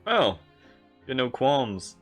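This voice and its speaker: A woman giving casual livestream reaction commentary on a game, halting, informal and giggly. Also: very deep voice, very animated. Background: game music.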